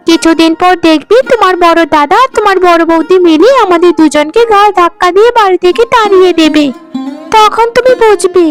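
A woman's voice: very high-pitched, normal speed. A woman voicing a scheming, nagging younger daughter-in-law with a scolding, emphatic manner in a cartoon melodrama. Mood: scornful, resentful. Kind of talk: melodramatic cartoon story acting. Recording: music under the voice.

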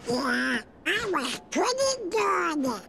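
A man voicing a cocky, excitable male cartoon duck wizard in a video game, garbled and quacking. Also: very high voice, very slow, creaky, music in the background, exaggerated voice. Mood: smug. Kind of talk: cartoon character in game cutscene.

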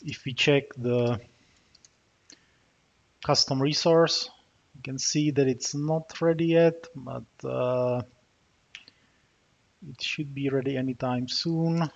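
A man with a Czech accent walking through a software demo as he types, with frequent filler pauses. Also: mid-range voice, fairly even intonation, creaky. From Czech Republic. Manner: narrates while typing, frequent uh fillers. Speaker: software developer demoing a tool. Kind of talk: screencast tutorial walkthrough. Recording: slightly dull, muffled recording with keyboard typing.

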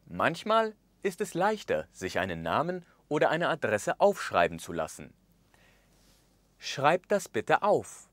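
A man in his thirties presenting a language course in a studio, speaking with expressive gestures and acting out the example phrases. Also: a little high, unhurried, dry recording. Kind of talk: scripted lesson narration. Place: studio with orange backdrop.

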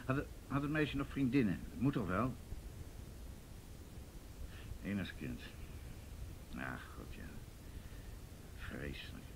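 Police detective: earnest, concerned, very deep, radio drama with clear rumbling noise. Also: male voice, very animated, unhurried. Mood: sombre. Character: concerned, willing male police detective colleague. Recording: noisy, rumbling old radio drama recording.

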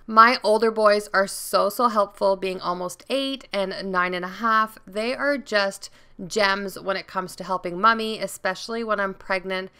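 A woman's voice: mid-range voice, creaky, sharp tone, clear, resonant tone. A woman in her thirties giving a chatty, upbeat voice-over for a home cleaning vlog. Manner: chatty, upbeat, explains each step. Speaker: vlogger.

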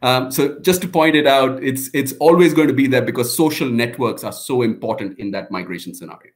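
A man's voice: medium pitch, fairly quick. Man from Kerala on a video call. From Kerala, India. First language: Malayalam. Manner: energetic, with many fillers and restarts. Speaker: panelist. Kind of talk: webinar discussion.

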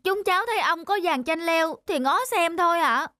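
Woman acting high-pitched mischievous young boy. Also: expressive, moderate pace, over music. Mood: sheepish, curious. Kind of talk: animated moral story dialogue.

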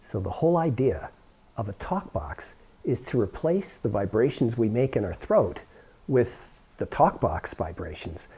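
Middle-aged American man, lively science explainer. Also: mid-range voice, husky. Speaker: science demonstrator and host. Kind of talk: explanatory how-to presentation. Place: school workshop or lab room, indoors. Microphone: lapel microphone.

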